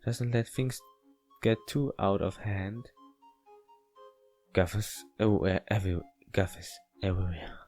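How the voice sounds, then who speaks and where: A man with a non-native English accent reads a visual novel aloud as a let's play host, haltingly, with casual asides. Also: fairly low pitch, a little mellow, faint music behind. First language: not English. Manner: halting, stumbling reading.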